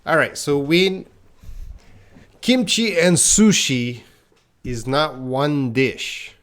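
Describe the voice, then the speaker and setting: A man's voice: a little high, lively intonation, a bit bright. A man from Canada teaching an English grammar lesson live online, explaining patiently and reading out viewers' comments. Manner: slow, patient explaining, reads chat comments aloud.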